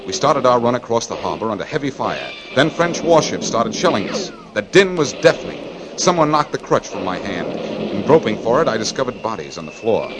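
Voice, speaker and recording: A man playing a war correspondent who gravely recounts a battle in dramatic first-person radio narration. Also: mid-range voice, very animated, fairly quick, a crowd in the background, close to an everyday voice, rough recording. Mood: grave, tense.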